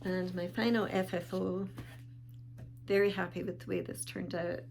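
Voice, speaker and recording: A woman talking casually in a show-and-tell vlog, calm and unhurried with small pauses, sounding a bit sniffly. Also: fairly low pitch, gravelly, a little hiss or hum. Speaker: vlogger.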